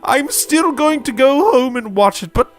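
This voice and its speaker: A performer voicing a stern, exasperated witch professor in a comic audio story, with a deadpan manner. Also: high-pitched, a little slow, soft background music. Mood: prim, impatient.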